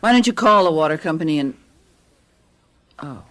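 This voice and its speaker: An animated, fretful American housewife. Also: female voice, low-pitched, normal speed. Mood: puzzled. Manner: expressive, flustered, chatty. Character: chatty, fretful suburban housewife. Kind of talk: American radio drama acting.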